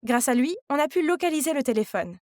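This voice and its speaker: Woman narrator, high voice, confiding, scripted first-person storytelling, dry studio-like sound. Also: big pitch swings, quick pace, darkish tone, no room sound.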